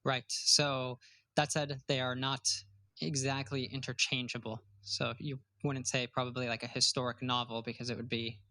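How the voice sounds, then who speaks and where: A man's voice: medium pitch, flat delivery, moderate pace, bright, forceful tone, no echo. Upbeat man hosting a podcast. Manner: upbeat, enthusiastic. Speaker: podcast host. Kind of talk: podcast hosting and promo read.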